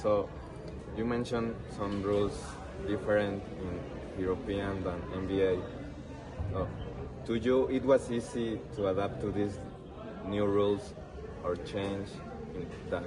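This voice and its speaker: A man interviewing someone, asking questions in halting, non-native English phrasing. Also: fairly low pitch, fairly even intonation, background noise. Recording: distant mic, busy crowd outdoors.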